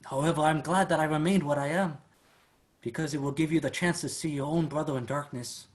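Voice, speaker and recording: A man's voice: on the high side, steady tone, brisk, lightly acted. An actor playing a proud, stubborn elder brother, a plain-spoken man in a staged drama. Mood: heartfelt, resolute. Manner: plain-spoken, heartfelt, defiant when provoked. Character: Amado, proud, stubborn elder Filipino brother, rough drifter. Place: online virtual staged reading.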